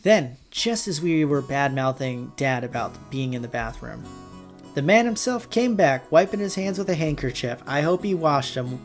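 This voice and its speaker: A man's voice: fairly high pitch, expressive, moderate pace. Man narrating a game over music. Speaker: amateur visual novel reader and player. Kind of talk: narration with casual asides.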